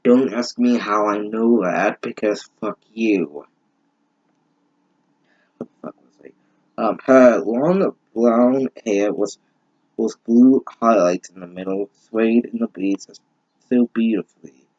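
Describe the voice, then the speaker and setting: A man's voice: lowish voice, darkish tone. Exasperated man in a dull lo-fi recording. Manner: exasperated, sarcastic, profane, halting. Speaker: host reading and mocking fan fiction. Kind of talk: comedic story reading with ranting commentary.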